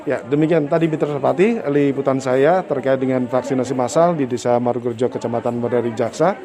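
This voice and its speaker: Field reporter, male, mid-pitched, measured formal delivery with fillers, outdoor location. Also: crowd noise. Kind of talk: on-location news report and interviews. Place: outdoors at a village hall.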